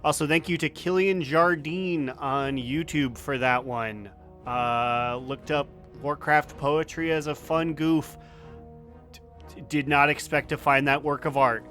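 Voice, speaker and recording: A man playing an earnest fantasy news reporter, delivering a deadpan mock news report. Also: fairly high pitch, a little slow, faint music behind. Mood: deadpan, puzzled.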